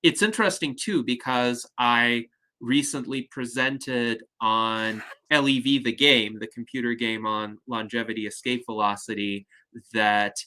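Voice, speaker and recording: Man, host, bright tone, measured and articulate, video call. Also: mid-range voice, fairly even intonation, low-quality recording. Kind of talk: online panel discussion.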